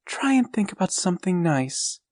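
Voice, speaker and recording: A soft, hushing mother comforts her child in a raised, gentle voice, as a man reads her part. Her tone grows weary and patient, and the recording is dry and close. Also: high voice, very animated, normal speed, no room sound, voice pushed up. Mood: soothing. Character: tired, soothing young mother. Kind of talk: storybook reading.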